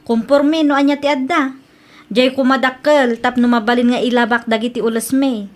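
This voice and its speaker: A woman's voice: on the high side, little pitch movement, moderate pace, lightly acted. A woman playing a humbled grown daughter. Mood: earnest. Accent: Ilocano. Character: grown daughter, once scornful now humbled. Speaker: daughter Brenda. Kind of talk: audio drama acting.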